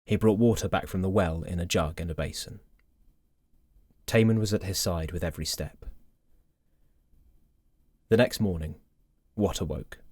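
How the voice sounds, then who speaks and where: A man narrating a fairy tale from a script in a measured, gentle storytelling manner. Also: sits low, no echo, studio quality.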